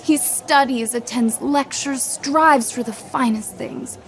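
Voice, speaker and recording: High-voiced, lovestruck girl cadet. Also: slow pace, slight background noise. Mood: pleading. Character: lovestruck, earnest young woman cadet, honest and naive. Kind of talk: radio theatre acting.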